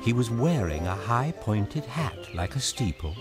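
An actor playing a grave, measured storyteller, narrating an audio drama. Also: male voice, medium pitch, very animated. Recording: loud music behind narration.

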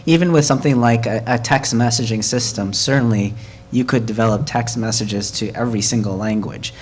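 A man's voice: medium pitch, brisk, a little mellow, a little hiss or hum. A man chairing a committee at a hybrid meeting, talking conversationally with fillers and restarts.

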